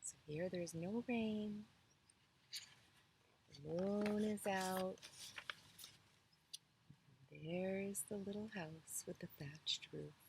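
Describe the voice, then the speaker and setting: A woman storyteller reading a children's tale expressively, with deliberate, dramatic phrasing. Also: medium pitch, creaky, background noise.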